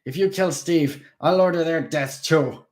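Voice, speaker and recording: A man reading aloud, voicing a desperate male vampire warrior who shouts urgently while straining to hold on. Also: on the high side, unhurried, pitched above the performer's own voice, natural voice.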